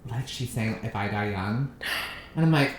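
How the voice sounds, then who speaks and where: A man's voice: on the low side, lively intonation, moderate pace, roomy sound, a little hiss or hum. A man co-hosting a podcast, talking casually with lots of fillers and restarts, into a podcast mic indoors. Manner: chatty, frequent fillers and restarts. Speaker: podcast co-host. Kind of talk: casual chat. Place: indoor room, recording in person.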